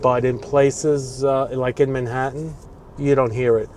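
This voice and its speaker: A man's voice: mid-range voice, little pitch movement, a little slow, a little mellow. A man in his sixties with a New York accent, chatting casually from his car in city traffic, opinionated and rambling. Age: 60s. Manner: opinionated, rambling, grumbling asides. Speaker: vlogger. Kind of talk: casual chat while driving. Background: faint traffic noise.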